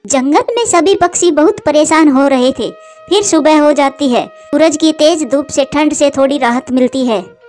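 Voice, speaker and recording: A woman's voice: sits high, a little slow, darkish tone, faint music behind. A woman reading scripted narration for a children's bird story as its narrator.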